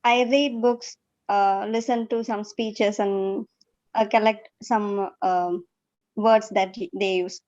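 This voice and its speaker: A woman's voice: mid-range voice, steady tone, slow pace, darkish tone, muffled recording. A woman taking part in a training session, asking and answering questions casually.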